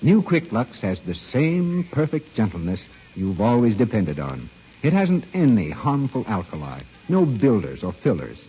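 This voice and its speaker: A man announcing on an old-time radio show, reading a scripted commercial in a polished, emphatic sales pitch. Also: huge pitch swings, a little slow, faint music behind. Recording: muffled old radio broadcast.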